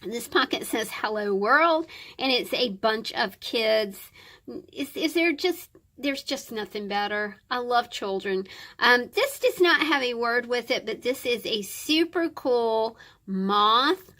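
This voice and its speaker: A woman's voice: on the high side, animated, slow pace, pushed and bright. A woman with a scratchy, stuffed-up voice chatting casually and in a friendly way as she shows craft items to her viewers. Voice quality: scratchy, croaky; stuffy nose. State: scratchy throat and congestion. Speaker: craft channel host. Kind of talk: casual show-and-tell chat.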